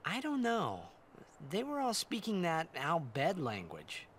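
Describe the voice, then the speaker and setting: A man's voice: fairly high pitch, huge pitch swings, measured pace, a bit bright, slight background noise, exaggerated voice. A young man playing an earnest, impulsive adventurer in a video game, boyish and casual. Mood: wistful, curious. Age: young adult.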